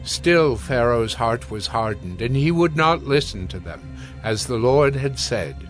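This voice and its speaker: A man narrating scripture from a script in a measured, formal storytelling manner. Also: mid-range voice, animated, faint music behind.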